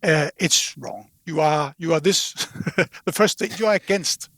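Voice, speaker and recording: Man, slightly high voice, husky, casual interview guest, halting delivery with repeated words, dry close sound. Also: a bit bright, no echo. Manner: halting, repeats words while thinking aloud. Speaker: podcast guest from a loudspeaker company.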